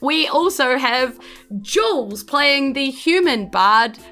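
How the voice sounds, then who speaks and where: A woman's voice: high-pitched, animated, slow, pushed and bright, faint music behind. A woman with a New Zealand accent, chatting in a bubbly, welcoming way as podcast host and dungeon master. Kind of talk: chatty podcast hosting of a tabletop game.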